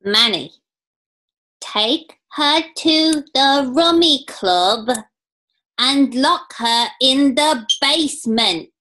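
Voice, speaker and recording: A woman's voice: sits high, lively intonation, extremely slow, sharp tone, exaggerated voice. A woman voicing a pet parrot that insistently mimics phrases in a radio play. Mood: insistent mimicry. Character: squawking pet parrot repeating an overheard order. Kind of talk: 1940s radio play acting.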